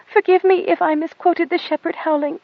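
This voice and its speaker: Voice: very high voice, steady tone, a little fast, vocal fry, no room sound, muffled recording, pitched above the performer's own voice. An audiobook narrator voicing a timid, self-abasing young girl who pleads fearfully. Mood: fearful pleading.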